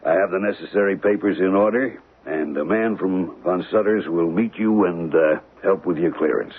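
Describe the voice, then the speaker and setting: An actor playing a fatherly, cautious old diamond dealer in a radio drama, speaking in a measured, kindly way. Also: sits low, breathy edge. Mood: businesslike. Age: older. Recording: tinny, narrow-band radio broadcast.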